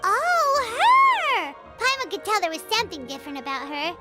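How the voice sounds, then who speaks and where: A woman voicing a small, excitable, chatty childlike fairy companion in a video game, in an exclamatory way. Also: very high voice, moderate pace, over loud music. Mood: curious, chatty. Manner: speaks of self in third person, exclamatory. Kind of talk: video game character dialogue.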